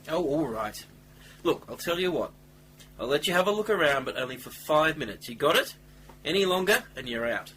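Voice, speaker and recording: A man's voice: on the high side, expressive, normal speed, pauses often, sharp tone. A man playing a grudging, officious guard in an amateur radio play. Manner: grudging, curt. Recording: noisy, humming home recording.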